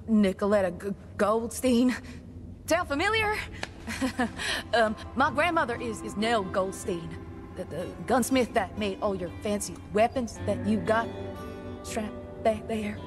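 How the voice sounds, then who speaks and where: High-pitched brash young gunsmith. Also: female voice, lively intonation, slow pace, music in the background. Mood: starstruck, excited. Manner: sassy, stammering when starstruck. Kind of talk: video game cutscene acting.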